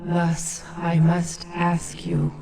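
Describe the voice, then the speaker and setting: Slow, echoing old alien matriarch. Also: female voice, on the low side, completely flat delivery, gravelly, crowd noise, heavily acted. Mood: weary, grateful. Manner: solemn, stately. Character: dignified dying old alien matriarch, grateful and serene. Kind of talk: video game voice acting. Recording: echoey, distant, busy sound behind.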